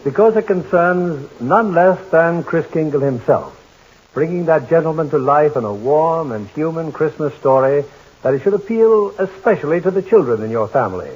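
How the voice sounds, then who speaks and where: A middle-aged man hosting a 1940s radio drama broadcast, courteous and measured in a formal manner. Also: a little high, animated, breathy edge, phone-quality sound. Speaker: radio drama host and producer.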